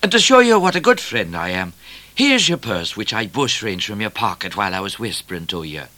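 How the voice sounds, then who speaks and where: A man's voice: fairly low pitch, sing-song, a little fast, bright, forceful tone. A man voicing a cheerfully mocking, thin male outlaw in a storybook reading. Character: gleeful, taunting bushranger, a long thin male outlaw. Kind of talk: storybook character voice.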